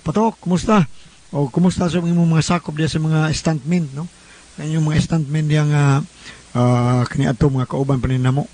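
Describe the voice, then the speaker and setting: A man's voice: on the high side, warm tone, husky. A man with a Cebuano Filipino accent hosting a radio show, chattily greeting listeners and introducing letters. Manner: chatty, addresses listeners directly. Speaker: radio host and letter reader.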